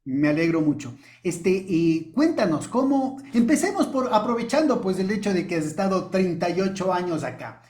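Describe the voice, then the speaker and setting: A man's voice: sits high, big pitch swings, moderate pace, few pauses, darkish tone. A man hosting an interview show, welcoming his guest and asking long, winding questions. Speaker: host.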